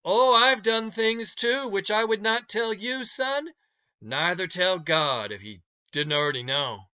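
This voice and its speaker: A man voicing a stern but kind, motherly older woman in a storybook read-aloud, scolding in a folksy, emphatic, drawn-out way. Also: high-pitched, lively intonation, bright, forceful tone, clear, resonant tone, lo-fi sound, voice pushed up, full character voice. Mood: frank, firm.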